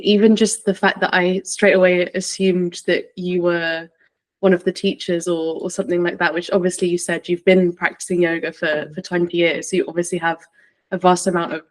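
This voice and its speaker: A woman hosts a podcast interview in a relaxed, informal way. Her voice sits a little low and stays fairly flat in pitch as she chats with her guest. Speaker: podcast host, yoga teacher. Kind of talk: casual conversational interviewing.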